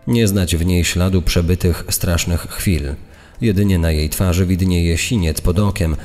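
A man playing an indignant journalist, reading an old press article in a formal, measured, accusatory manner. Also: low voice, darkish tone, soft background music. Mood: suspicious. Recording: long hall-like reverb.

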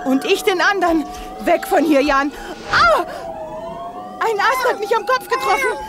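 An actress playing an anxious but spirited young space adventurer in a sci-fi radio play, with dramatic delivery. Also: female voice, very high voice, sing-song, a little slow, heavy background noise, exaggerated voice. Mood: alarmed. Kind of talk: German 1980s sci-fi radio play acting.

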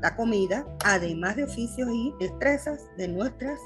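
Woman in her seventies, steady webcam read over music. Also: fairly high pitch, normal speed. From Panama. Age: 70s. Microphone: video call webcam.

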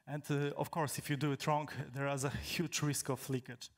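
A young man from Poland, a native Polish speaker, giving a technical talk on stage in a large hall, halting with many fillers and restarts. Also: on the high side, flat delivery. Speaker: conference speaker.

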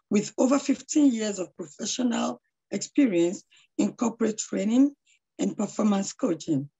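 A middle-aged woman with a hoarse voice, introducing a speaker on a video call with effusive praise and frequent prayers. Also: mid-range voice, warm tone, lo-fi sound. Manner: devout, effusive, praying often. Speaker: charity founder introducing a speaker. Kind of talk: online lecture introduction. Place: small room at home. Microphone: laptop webcam on a video call.

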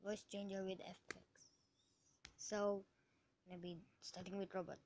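A woman's voice: mid-range voice, flat delivery, a little slow. A woman giving casual commentary on a phone app demo. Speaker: video creator. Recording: quiet, noisy phone screen recording with clicks.

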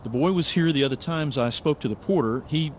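An actor playing a naive, stubborn, wry amateur sleuth in a radio drama. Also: male voice, fairly high pitch, a little fast, a little hiss or hum, lightly acted. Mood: uneasy.

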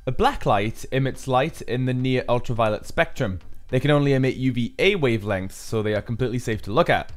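A man's voice: medium pitch, lively intonation, brisk, few pauses. A man giving an upbeat, explanatory voice-over for a build tutorial, as the maker himself. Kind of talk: scripted tutorial narration.

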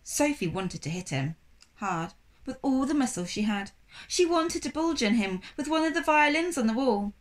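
A woman in her thirties reads a children's novel aloud. Her narration is expressive and sits a little high in pitch, recorded indoors at about arm's length. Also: moderate pace. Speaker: storybook reader. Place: small indoor room, reclining on cushions.

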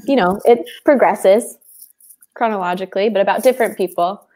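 A young woman, an English teacher, chatting casually on a home video call, relaxed and using lots of filler words. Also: mid-range voice, brisk. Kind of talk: casual livestream lesson chat. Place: small room at home, indoors. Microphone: earphone mic on a video call.

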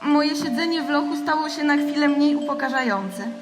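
A woman acting a frank, gentle captive alien in a theatrical audio drama, speaking conversationally. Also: sits high, normal speed, natural voice. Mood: candid. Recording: long reverb, loud music underneath.

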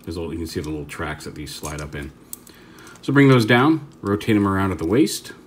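A man's voice: sits low, fast talker. A man giving a casual hands-on toy review, rambling and stopping to correct himself as he handles the figure. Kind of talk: casual hands-on product demo.

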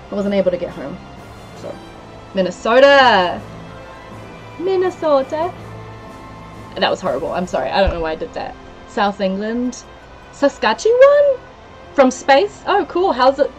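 A woman's voice: mid-range voice, poor audio. Chatty Kiwi woman on a game stream. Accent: New Zealand. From New Zealand. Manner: chatty, giggly, lists place names from chat. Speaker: livestreamer. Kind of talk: casual game-stream chat, reading out viewer comments. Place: small room indoors. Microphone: headset.

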